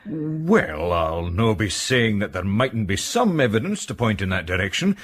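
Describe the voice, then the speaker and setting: Husky, low Scottish police sergeant. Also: male voice, very animated. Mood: cautious, polite. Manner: measured, roundabout, official. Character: cautious, deliberate, courteous Scottish police sergeant. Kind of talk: British radio detective drama acting.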